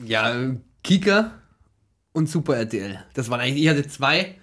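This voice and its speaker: A man with a Bavarian accent and a husky voice, chatting casually with friends as a podcast co-host. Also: mid-range voice, expressive, normal speed, fairly bright tone.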